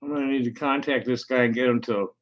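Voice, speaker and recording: Halting man talking to camera. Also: mid-range voice, steady tone. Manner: halting, many fillers and restarts. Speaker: vlogger. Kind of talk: casual talk to camera.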